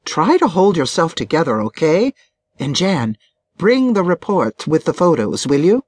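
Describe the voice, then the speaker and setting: Audiobook role: crude, gossipy best friend, female, acted by a woman narrator. Lower register, animated, brash, scolding. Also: unhurried, husky, no room sound, heavily acted. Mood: concerned, shocked. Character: blunt, gossipy, crude middle-aged girlfriend confidante.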